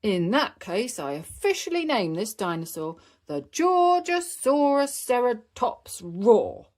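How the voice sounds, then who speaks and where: Casting: woman as grown-up rabbit man, storybook expert, emphatic and proud, very varied pitch. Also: big pitch swings, takes it slowly, darkish tone. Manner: emphatic, announcing. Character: adult male rabbit expert, proud announcer.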